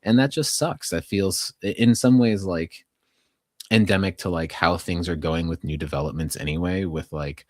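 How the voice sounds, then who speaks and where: A man's voice: low-pitched, normal speed, darkish tone, dry recording, clean studio sound. An American man co-hosting a podcast, talking through local news in a casual, conversational, hedging way with plenty of "like". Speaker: podcast co-host.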